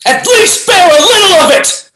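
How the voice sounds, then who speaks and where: A woman's voice: extremely high pitch, expressive, normal speed, fairly bright tone, reverberant, faint music behind, low-quality recording. A woman acting a scornful, menacing rifleman in a screenplay table read, with a taunting, dramatic delivery. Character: menacing, scornful male rifleman turning panicked when attacked.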